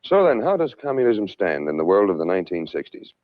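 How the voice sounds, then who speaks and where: An American man narrating a scripted 1960s public information film in a formal, measured, emphatic manner. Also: deep voice, very animated, no echo. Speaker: documentary narrator. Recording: narrow-band vintage film soundtrack, music behind.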